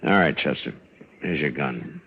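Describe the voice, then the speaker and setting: A very low-voiced, stern lawman of the frontier gives terse orders with full authority. The sound is a muffled, noisy old radio western. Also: lively intonation, unhurried, exaggerated voice. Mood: stern accusing. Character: stern, commanding frontier US Marshal.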